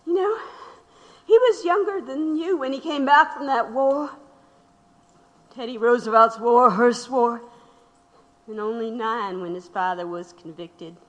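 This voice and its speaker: An actress playing a sorrowful older widow in an audio drama, haltingly reminiscing about the past. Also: female voice, fairly high pitch, muffled recording, close to an everyday voice. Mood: wistful. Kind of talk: reflective dramatic dialogue. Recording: long hall-like reverb.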